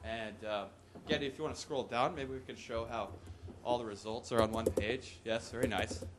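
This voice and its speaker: A man's voice: medium pitch, steady tone, normal speed, heavy background noise. A young man giving a loose, joking talk in a conference hall, with whoops and laughs, into a podium microphone. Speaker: conference presenter. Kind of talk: casual live tech talk.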